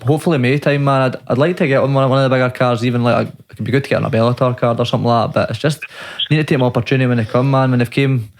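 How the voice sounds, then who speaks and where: A fighter and coach who talks at a brisk clip. His chat is relaxed and friendly, and he keeps tacking "man" onto the ends of his lines. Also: medium pitch. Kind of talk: casual chat.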